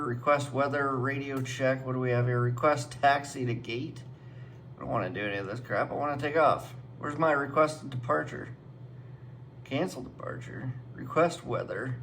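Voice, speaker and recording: A man's voice: mid-range voice, a bit bright. A man giving casual hands-on commentary as a flight simulator guide, rambling and thinking aloud. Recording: clearly noisy room background.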